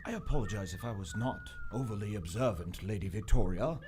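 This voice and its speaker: An actor playing an exasperated, timid society clerk with a formal, sarcastic manner in a live radio adventure. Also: male voice, lowish voice, sing-song, brisk, noisy background. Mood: dry, aggrieved. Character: exasperated, timid male society clerk fleeing through jungle. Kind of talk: old-time radio adventure acting, live theater.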